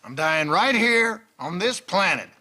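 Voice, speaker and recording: An actor playing a stubborn, cantankerous old man in a science fiction TV drama, with a gravelly, theatrical drawl from the South. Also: high-pitched, expressive, unhurried, sharp tone, exaggerated voice. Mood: stubborn, defiant. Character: cantankerous, stubborn old human man, aged decades in exile.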